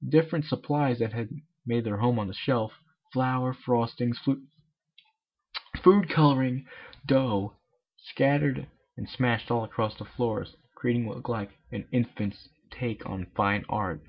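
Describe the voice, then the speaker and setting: Husky amateur storyteller, a man. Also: medium pitch, measured pace. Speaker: amateur fan fiction reader. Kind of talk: story narration with joking asides. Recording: dull lo-fi home recording.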